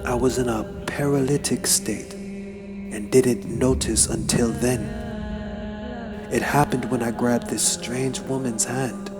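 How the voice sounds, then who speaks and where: A man narrates a horror tale in a dramatic, solemn style. His delivery is slow and deliberate, built for suspense, with a medium-pitched voice. Music plays clearly behind him. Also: fairly even intonation. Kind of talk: dramatic horror story reading. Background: background music.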